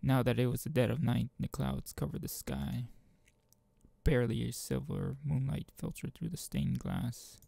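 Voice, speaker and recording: A man giving a casual let's play of a visual novel, reading text aloud and rambling as he thinks out loud. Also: mid-range voice, normal speed, gravelly, slightly rough, dry recording. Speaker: gaming video host.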